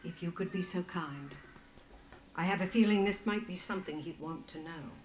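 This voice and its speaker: An actress playing a calculating head matron in a video game, speaking with cool, formal deliberation. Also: female voice, lowish voice, moderate pace, roomy sound, a little hiss or hum, natural voice. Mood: courteous. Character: poised, calculating female head matron of a powerful order. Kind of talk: video game dramatic dialogue.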